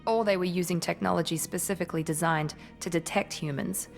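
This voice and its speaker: A woman's voice: on the low side, steady tone, brisk, creaky, faint music behind. A woman playing a cool, analytical covert operative in a video game, detached and matter-of-fact.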